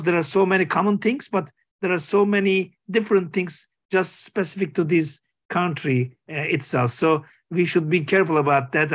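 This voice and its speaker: A middle-aged man, haltingly searching for words as a panelist on a video call. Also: a little high, a bit bright. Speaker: physician panelist. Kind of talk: conversational panel discussion. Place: small book-lined room, video call.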